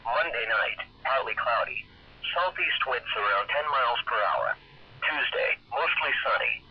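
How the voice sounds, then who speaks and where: Male weather radio announcer, mid-pitched, synthetic impersonal delivery, scripted forecast, dull radio sound. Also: animated, a little slow, slightly rough.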